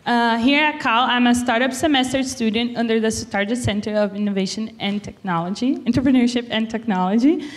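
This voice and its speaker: A woman's voice: fairly high pitch, brisk, hardly any pauses. A young woman, carefully reading a speaker introduction into a handheld mic at a lecture-hall podium. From Brazil. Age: 20s. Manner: careful, reads from notes with fillers. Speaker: student event presenter.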